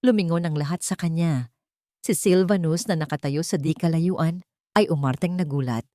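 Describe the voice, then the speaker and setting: A woman's voice: deep voice, normal speed, warm tone, no room sound, studio quality. A woman with a Filipino accent narrating a scripted romantic drama as a storyteller.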